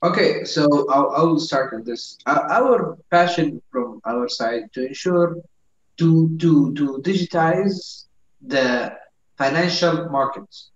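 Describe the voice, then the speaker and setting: A man from Saudi Arabia speaking as a founder on an online panel, halting and searching for words. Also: on the high side. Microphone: video call. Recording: roomy, dull video call audio.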